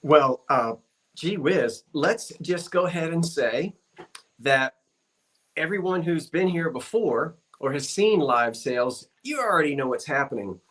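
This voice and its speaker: Folksy male sale host on a video call, slightly higher voice, easygoing. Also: animated, a little slow, a bit bright. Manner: folksy, homespun exclamations. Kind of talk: casual live-stream chat.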